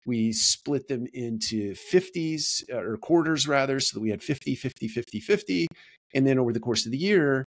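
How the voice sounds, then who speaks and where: A man talks to camera as a host, explaining in a reflective, deliberate way with repetition for emphasis. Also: medium pitch, animated. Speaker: host of a business video series. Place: small home office, indoors.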